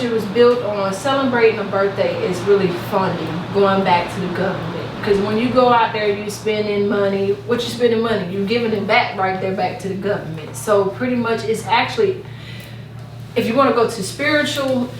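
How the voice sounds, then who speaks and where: A woman's voice: mid-range voice, moderate pace. A woman in her thirties in a casual group discussion, explaining things emphatically and often checking that others follow. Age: 30s. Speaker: podcast panelist. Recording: roomy echo, distant mic, faint noise.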